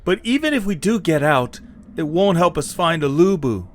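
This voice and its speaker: A man's voice: on the high side, very animated, moderate pace, a little hiss or hum, voice pushed up. A man voicing a young male adventurer trying to rally his weary friends in an audio drama. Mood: hesitant, worried.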